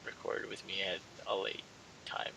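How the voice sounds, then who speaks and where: Bright-voiced young man rambling casually. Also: moderate pace, a little hiss or hum, poor audio. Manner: hesitant, rambling, repeats and trails off. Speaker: gaming channel host. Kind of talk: casual rambling video outro.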